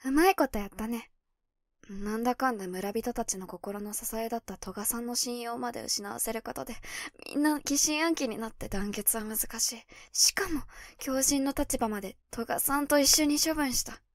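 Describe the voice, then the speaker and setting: An actress playing a calm, calculating young female werewolf in a voice drama, speaking matter-of-factly. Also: on the high side, moderate pace, no room sound, clean studio sound, lightly acted. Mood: cool. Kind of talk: Japanese voice drama acting.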